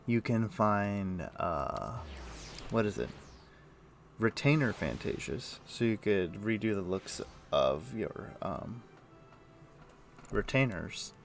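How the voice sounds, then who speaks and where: A man streaming a game, chatting casually and thinking aloud in a halting, muttering way as he plays. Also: fairly low pitch, expressive, gravelly, soft background music. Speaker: game livestreamer. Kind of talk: casual livestream chat with gameplay commentary.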